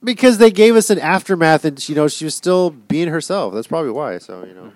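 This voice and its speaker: A man's voice: a little high, expressive, brisk, dry recording. A man hosting a podcast in a casual, chatty style. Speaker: podcast host.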